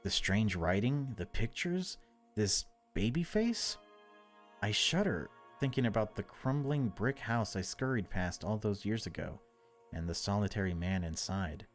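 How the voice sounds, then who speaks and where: A man narrating a horror visual novel in a measured, unhurried way, with casual asides as a gaming video host. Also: fairly low pitch, animated, soft background music.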